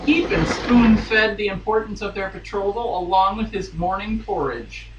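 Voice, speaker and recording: A man's voice: sits high, expressive, moderate pace. A man giving a theatrical dramatic reading of a romance novel, dropping into amused asides. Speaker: guest reader on a podcast. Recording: roomy, distant mic, faint noise.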